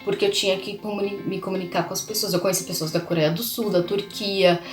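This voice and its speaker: A woman's voice: medium pitch, fairly even intonation, quick pace, reverberant, faint music behind. A young woman from Brazil talking casually to her viewers as a language channel host, chatty and full of fillers and tag questions. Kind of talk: casual vlog talk to viewers.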